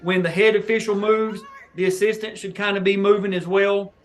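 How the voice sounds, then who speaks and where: A man with a fairly high, light voice hosts a review of wrestling footage. He breaks down each call step by step in a steady, instructive way, pointing out the mechanics for newer officials. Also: normal speed. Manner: explanatory, coaching tone toward new officials. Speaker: host reviewing match footage.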